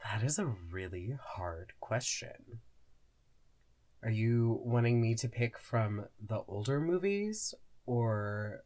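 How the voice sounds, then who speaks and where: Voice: mid-range voice, expressive, slow, a little mellow. A podcast co-host in casual conversation, talking in a hesitant, thoughtful and self-effacing way.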